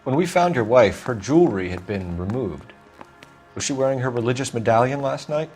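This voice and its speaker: An actor playing a stern young American police detective in a video game, questioning in a clipped, formal, accusatory way. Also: male voice, mid-range voice, expressive, fairly quick, darkish tone, faint music behind, natural voice. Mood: probing, formal. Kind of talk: acted dramatic video game dialogue.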